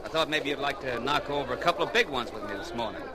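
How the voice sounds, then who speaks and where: A man's voice: mid-range voice, expressive, fast talker, a crowd in the background, close to an everyday voice. An actor playing a plain-spoken, laconic backwoods lumberman in an old-time radio drama. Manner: laconic, folksy. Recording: noisy old radio recording.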